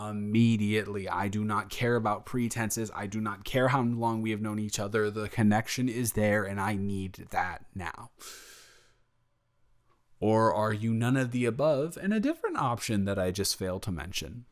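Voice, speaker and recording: A man playing a smitten, playful young roommate in an improvised romantic audio roleplay, talking in a halting, self-correcting, jokey ramble. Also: fairly low pitch, fairly bright tone. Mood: earnest, teasing.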